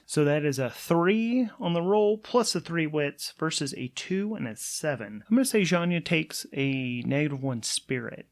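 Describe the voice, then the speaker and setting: A man with a fairly high voice plays a tabletop game by himself. He talks through rolls and story casually, thinking aloud with animated, informal delivery. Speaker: solo game master and player.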